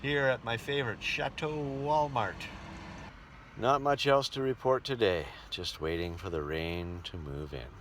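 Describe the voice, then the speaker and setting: A man's voice: fairly low pitch, unhurried, a bit bright. A man who works as a travelling entertainer, chatting casually in a travel vlog. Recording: outdoor ambience and vehicle noise.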